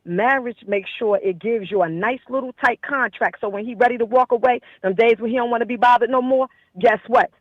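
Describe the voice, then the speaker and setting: A woman's voice: on the high side, a little fast, few pauses, no room sound, highs cut off. A woman caller with a New Jersey accent, blunt and emphatic, repeating her points for effect. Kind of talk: casual call-in chat.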